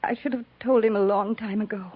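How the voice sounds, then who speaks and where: A woman acting a reserved, grieving frontier woman in a 1950s radio melodrama, halting and full of regret. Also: medium pitch, steady tone, breathy edge, dry recording, lightly acted. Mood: regretful, restrained. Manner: halting, confessional, restrained. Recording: muffled 1950s radio recording.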